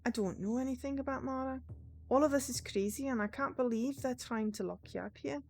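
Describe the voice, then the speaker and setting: Woman putting on higher-pitched anxious young female guard. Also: fairly quick, darkish tone.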